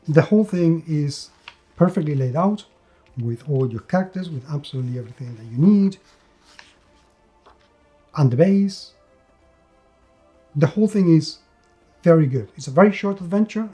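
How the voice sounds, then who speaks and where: Man with very mellow, dark voice, reviewing. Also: fairly high pitch. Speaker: tabletop game reviewer. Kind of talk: unscripted video review.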